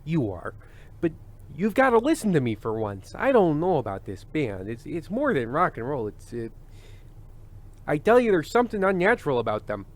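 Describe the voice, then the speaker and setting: A man playing a hesitant, uneasy old-fashioned TV variety host with halting, folksy patter, in the style of an old-time radio play. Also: fairly high pitch, wildly swooping pitch. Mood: uneasy, reluctant.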